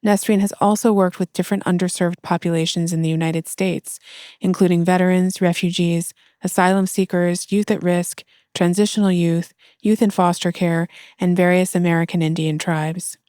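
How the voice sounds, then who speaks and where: A woman hosting a podcast, reading a formal introduction and asking interview questions in a measured way. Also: on the low side, level tone, a little mellow, smooth, dry recording, studio quality. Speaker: podcast host.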